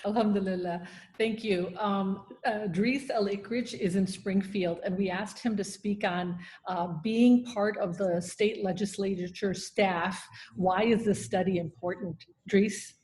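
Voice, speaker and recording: A woman's voice: mid-range voice, steady tone, measured pace, a little mellow. A woman hosting an online round table in an informal, encouraging and enthusiastic manner. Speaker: host and moderator.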